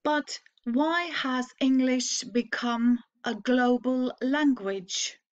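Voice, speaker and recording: Norwegian teacher reading slowly, higher voice. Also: female voice, highs cut off. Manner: slow, clearly phrased read-aloud with pauses. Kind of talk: slow read-aloud for learners to repeat.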